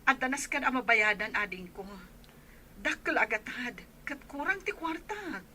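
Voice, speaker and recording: A woman's voice: high-pitched, lively intonation, moderate pace, sharp tone, slight background noise, exaggerated voice. A woman playing an anxious, dutiful elder daughter in an audio drama. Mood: subdued, apologetic.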